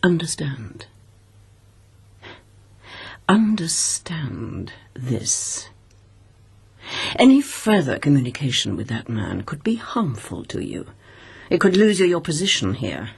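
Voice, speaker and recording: A middle-aged woman acting in a radio drama as a haughty, menacing princess, with a gravelly, husky voice and formal, cutting speech. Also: low-pitched, very animated, slow pace, pauses often, full character voice. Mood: menacing, imperious. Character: haughty, menacing imperial princess and protective mother.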